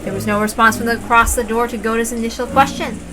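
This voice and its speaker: A woman's voice: a little high, steady tone, fast, over music. A woman narrating a story aloud as a reader, expressively and with informal asides. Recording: clear background noise, like rain.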